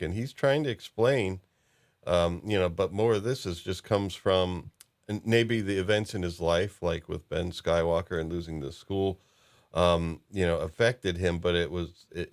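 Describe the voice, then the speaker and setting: A man hosting a casual fan discussion about lore, talking haltingly as he thinks aloud. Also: low-pitched. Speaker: podcast host.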